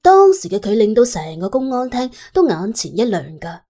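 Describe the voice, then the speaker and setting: A woman audiobook narrator. Her voice is fairly high, very expressive and somewhat husky, with soft music behind. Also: moderate pace. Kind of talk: animated storytelling narration.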